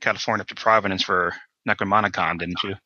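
A man chatting casually in an interview, rambling and halting, heard over a dry, lo-fi connection. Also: deep voice, steady tone, sharp tone, no room sound.

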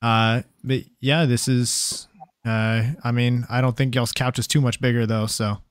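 Smooth-voiced podcast host. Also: male voice, medium pitch, measured pace, no room sound, studio quality. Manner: relaxed, reads out listener questions, many fillers. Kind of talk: casual interview chat.

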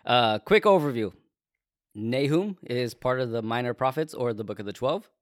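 A man's voice: mid-range voice, animated, normal speed, no echo. A man co-hosting a casual Bible podcast, chatting with self-deprecating jokes and frequent laughs into a close microphone. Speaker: podcast co-host. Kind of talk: casual chat about the Bible.

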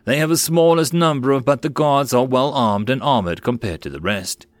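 A man's voice: medium pitch, normal speed, no echo, lightly acted. A man voicing a weary, wry marine soldier who sighs at trouble. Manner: sighing, wry.

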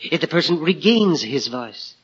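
An actor playing a genteel, enthusiastic plant-hunting gentleman in an old-time radio drama, courteous and precise. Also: a little high, sing-song, moderate pace, breathy edge, full character voice. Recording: dull vintage radio recording, light noise.

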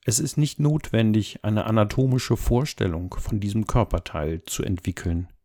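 A man leading a guided meditation as an instructor, speaking calmly and gently in measured, unhurried phrases. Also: on the low side. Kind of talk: guided body scan meditation, soft instruction.

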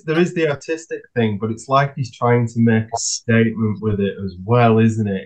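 A man's voice: on the low side, low-quality recording. A man in a casual music discussion, thinking aloud and often ending his points with tag questions. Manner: tentative, musing, often seeking agreement with tag questions. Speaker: podcast panellist.

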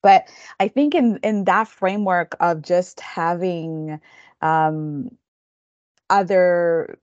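Woman, low voice, earnest and reflective, dry dull recording. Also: a little mellow, dry recording. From Kenya. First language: Kikamba. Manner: thoughtful, earnest, halting restarts. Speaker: guest counselor educator. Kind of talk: reflective interview storytelling.

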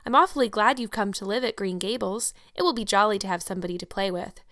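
A woman's voice: mid-range voice, fast, fairly bright tone, no room sound, studio quality, close to an everyday voice. Woman voicing a merry, frank little girl. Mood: friendly. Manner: frank, cheerful. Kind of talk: audiobook character acting.

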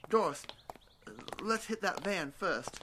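A man's voice: high-pitched, expressive, slow, background noise. A man playing a hesitant, folksy, helpful survivor in a zombie audio drama, stammering as he speaks. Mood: cautious.

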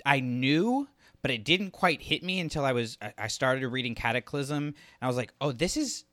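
A man's voice: mid-range voice, normal speed, a bit bright, dry recording. A man co-hosting a podcast in casual, jokey, rambling chat. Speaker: podcast co-host.

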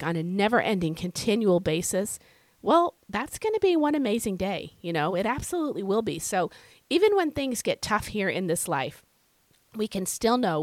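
A woman hosting a devotional podcast, her voice scratchy and hoarse from illness, teaching in an earnest, conversational way. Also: mid-range voice, dry recording. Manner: earnest, conversational, with fillers and repeated phrases. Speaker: podcast host.

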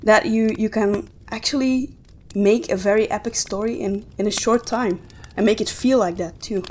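A woman's voice: medium pitch, steady tone, moderate pace, a little mellow. A woman co-hosting a podcast, talking casually and conversationally. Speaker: podcast co-host. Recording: clear background noise.

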